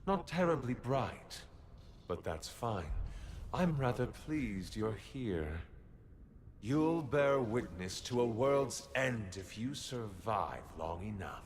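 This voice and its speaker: Slow, mocking dark emperor. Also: male voice, medium pitch, sing-song. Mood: mocking menace. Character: condescending, menacing immortal dark emperor. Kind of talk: dramatic game cutscene acting.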